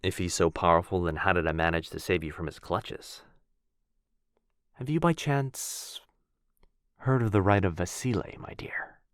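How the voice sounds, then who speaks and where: A man voicing a gentle, courtly male vampire lord in an ASMR boyfriend roleplay. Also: sits low, normal speed, pauses often, no room sound, studio quality. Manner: soft-spoken, courtly and reassuring. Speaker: voice actor.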